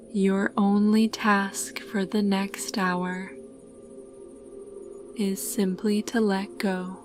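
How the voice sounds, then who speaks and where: Very slow, calm woman guiding sleep meditation. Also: mid-range voice. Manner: calm, soothing, unhurried. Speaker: guided sleep meditation narrator. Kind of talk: scripted guided meditation narration.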